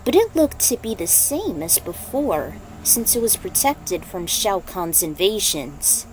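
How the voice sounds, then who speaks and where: A woman's voice: mid-range voice, big pitch swings, measured pace, slight background noise, poor audio. A woman narrating a fan fiction story as an amateur reader, sometimes stumbling over the text and correcting herself. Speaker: podfic narrator.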